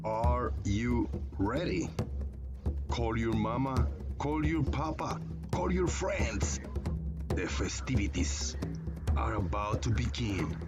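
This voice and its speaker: A man announcing the start of a show in a drawn-out, hyped way. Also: medium pitch, animated. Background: loud music.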